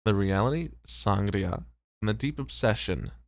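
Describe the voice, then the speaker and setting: A man playing a tired, popular host and teasing flirt in an ASMR roleplay, with relaxed banter. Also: on the low side, normal speed, creaky, no echo, studio quality. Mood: casual, modest.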